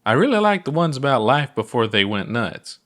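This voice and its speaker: A man's voice: medium pitch, very animated, fairly quick, fairly bright tone, pitched above the performer's own voice. A man voicing a curious, skeptical alien companion with a candid, questioning manner in a storybook reading.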